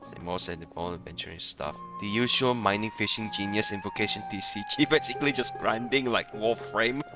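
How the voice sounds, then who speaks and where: A man giving casual, rambling playthrough commentary on a game, often halting and chuckling between phrases. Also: lowish voice, over music. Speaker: gaming streamer.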